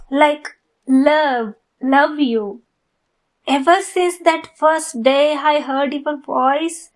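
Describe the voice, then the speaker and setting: High, unhurried female voice for ASMR roleplay: actress as a lovesick stalker fan, soft and cooing, sweetness with menace underneath. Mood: lovesick, teasing. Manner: soft, cooing, slow and deliberate, often breathy whispering. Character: obsessed flirty yandere woman fan, sweetly menacing stalker. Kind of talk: intimate ASMR roleplay acting.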